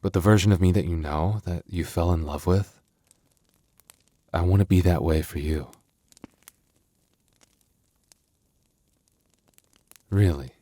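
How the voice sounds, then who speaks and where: Guy doing a super low soft werewolf boyfriend for asmr, earnest n kinda stammery, really dry close sound. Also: normal speed, dry recording. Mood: tender, devoted. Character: gentle young male werewolf boyfriend with regretful past. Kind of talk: intimate ASMR boyfriend roleplay.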